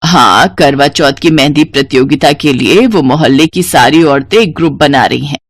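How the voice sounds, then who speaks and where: An elderly mother-in-law from a family storybook drama, played by a woman. Her voice sits well below the performer's usual pitch. She chides and grumbles in a blunt, reproachful way, recorded dry. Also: moderate pace, no room sound. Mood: grumbling. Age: older.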